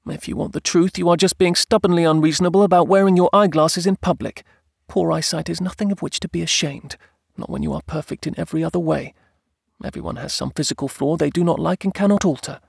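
A spirited young countess, as a male narrator puts her on in an audiobook. The pitch is lifted well up, the manner sweet, earnest and insistent. The sound is dry. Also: fast talker, gravelly, slightly rough, dry recording. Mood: indignant, earnest. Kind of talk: audiobook character dialogue.

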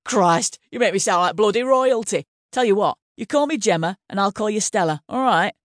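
A woman's voice: mid-range voice, expressive, normal speed, no echo, clean studio sound, voice pushed up, natural voice. A woman voicing a blunt, foul-mouthed Northern English female Home Secretary with a swaggering, crude manner. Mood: teasing. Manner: blunt, crude, teasing then menacing.

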